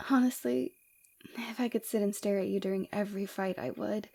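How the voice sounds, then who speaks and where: Mellow, flirtatious villainess played by a woman. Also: mid-range voice, gravelly. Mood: flirtatious, teasing. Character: flirtatious, forward female villain, rebel fighter, secretly caring. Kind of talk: ASMR roleplay acting.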